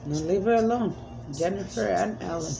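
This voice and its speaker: A woman's voice: mid-range voice, normal speed, creaky, over music, poor audio. A woman speaking as a self-described spirit medium in a rambling, emphatic monologue, pleading and repeating commands.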